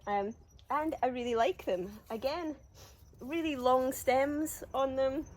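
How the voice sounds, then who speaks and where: A woman's voice: sits high, takes it slowly, a little hiss or hum. A woman with a cold, a bit sniffly, chatting casually through a walk-through of her allotment garden with lots of ums and little laughs. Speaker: gardening vlogger.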